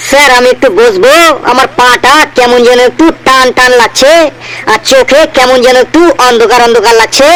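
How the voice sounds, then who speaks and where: Man voicing a very high-pitched cheeky schoolboy. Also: little pitch movement, brisk, fairly bright tone, poor audio. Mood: whiny, pleading. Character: cheeky male schoolboy full of silly excuses. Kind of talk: comedy cartoon dialogue.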